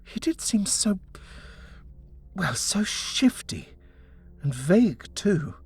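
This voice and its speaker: A man voicing a nervous, fastidious young wife in a story, hesitant and faintly disdainful. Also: sits high, sing-song, slightly rough, soft background music, voice pushed up, heavily acted. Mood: nervous, distaste. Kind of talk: storybook character reading.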